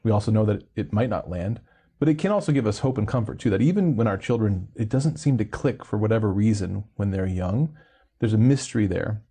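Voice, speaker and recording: A man's voice: fairly low pitch, animated, fairly quick, warm tone, breathy edge. A man hosting an interview, reflecting aloud with many fillers and tag questions. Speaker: interview host. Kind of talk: conversational interview.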